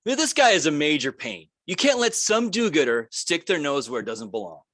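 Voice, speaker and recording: A man's voice: on the high side, sing-song, brisk, fairly bright tone, no room sound. A man playing a callous, dismissive conspirator, casual and scornful, in a screenplay table read. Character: callous, dismissive male conspirator at a dinner party.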